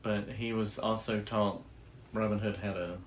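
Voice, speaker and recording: A woman's voice: a bass voice, fairly even intonation, a little slow, rough recording. A woman hosting a show, explaining things in casual, conversational chat. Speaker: host. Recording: faint background noise.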